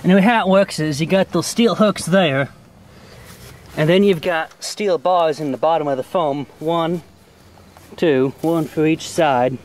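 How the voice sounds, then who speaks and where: A man's voice: a little high, unhurried. A man giving a casual hands-on tutorial in a folksy, plain-spoken way, as host of a homemade how-to video. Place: outdoors in and around a car.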